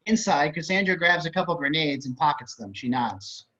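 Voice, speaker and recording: A man's voice: on the high side, expressive, moderate pace, bright, forceful tone. A man narrating stage directions for a script table reading over a dull-sounding video call.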